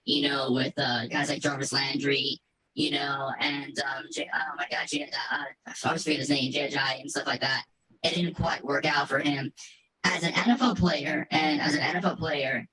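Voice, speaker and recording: A man with a robotic voice effect asking halting, wordy questions as a sports interviewer. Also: fairly high pitch, background noise. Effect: robotic voice modulation. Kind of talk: conversational interview.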